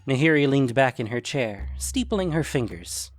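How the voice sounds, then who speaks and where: A man's voice: mid-range voice, very animated, normal speed, no echo. A man reading scripted fantasy fiction as a dramatic narrator.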